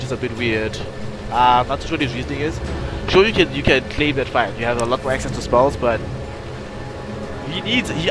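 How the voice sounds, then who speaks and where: A man's voice: medium pitch, poor audio. A man with a stuffy, nasal voice from a cold, giving rambling live play-by-play commentary on an esports match. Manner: rambling, self-correcting analysis. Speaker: game caster.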